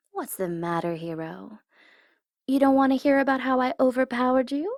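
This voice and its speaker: A woman playing a teasing tsundere supervillain in an ASMR audio roleplay, condescending but easily flustered, sometimes slipping into soft whispers. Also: high voice, normal speed, dry recording, clean studio sound. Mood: gloating. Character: teasing, tsundere female supervillain flustered by her captive hero.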